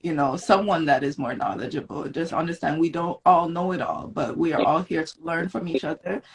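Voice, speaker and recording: A woman from Jamaica, a guest on a live stream, giving calm, encouraging wellness advice. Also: deep voice, vocal fry, noisy background. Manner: calm and measured, with warm encouragement and "um" pauses.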